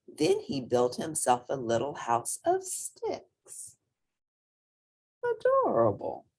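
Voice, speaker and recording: A woman reading a children's story aloud expressively, with drawn-out emphasis and playful asides. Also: low-pitched, huge pitch swings, a little mellow, slightly rough.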